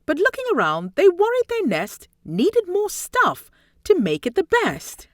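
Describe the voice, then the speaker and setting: A woman reading a rhyming children's story aloud in an expressive, theatrical way with deliberate phrasing and dramatic emphasis. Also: very high-pitched, dry recording, clean studio sound. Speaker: storybook reader.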